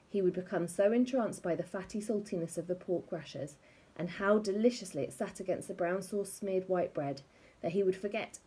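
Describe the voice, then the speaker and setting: A woman reading a children's story aloud in a wry, expressive way. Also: on the low side, slightly rough, rough recording. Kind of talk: animated storybook read-aloud.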